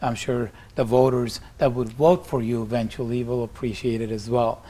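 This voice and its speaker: A middle-aged man hosting an interview, asking questions haltingly with frequent pauses. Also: mid-range voice, fairly even intonation, mellow. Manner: hesitant, many fillers and restarts. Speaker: interview host.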